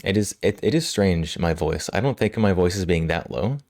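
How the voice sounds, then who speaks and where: A male livestream host chatting casually with viewers, slightly hoarse from a cold, relaxed and quick to laugh. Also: low-pitched, no room sound, studio quality. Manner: relaxed, laughs often, answers chat. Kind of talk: casual chat with live chat. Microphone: studio microphone.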